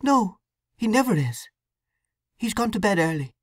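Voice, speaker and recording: A woman's voice: medium pitch, very animated, a little slow, no room sound, studio quality, voice pushed up. A woman voicing a young, distraught woman torn by conscience, pleading and breathless. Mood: anguished.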